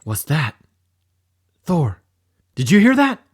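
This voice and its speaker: A man voicing a hot-tempered boy who yells and sobs over his lost dog. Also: sits high, expressive, a little slow, vocal fry, pitched above the performer's own voice, exaggerated voice. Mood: excited. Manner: yelling, sobbing outbursts. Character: hot-tempered grieving boy, loud and shrill.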